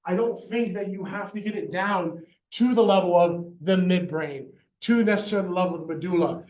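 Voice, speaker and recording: A man teaching veterinary neurology to students, animated and joking, often checking in with "right?" and "y'all". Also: high-pitched, a little fast, reverberant, distant mic, low-quality recording. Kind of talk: university lecture. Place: lecture hall. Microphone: handheld or lapel microphone.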